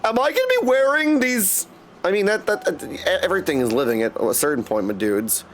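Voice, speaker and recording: A man's voice: high voice, sing-song, a little fast. A man chatting casually and in a friendly way with viewers as he streams a video game. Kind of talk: casual livestream chat over gameplay. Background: music.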